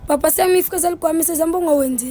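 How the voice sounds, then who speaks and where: A woman voicing a cheeky, eager, quick-talking child in a cartoon. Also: very high-pitched. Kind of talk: cartoon character dialogue. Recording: loud music and crowd noise behind.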